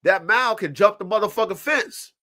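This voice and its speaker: Congested man talking dogs, animated. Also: high voice, normal speed. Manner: animated, profane, acts out dogs and owners in quoted voices. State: congested from allergies. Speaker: podcast host. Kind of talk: casual chat. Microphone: live stream mic.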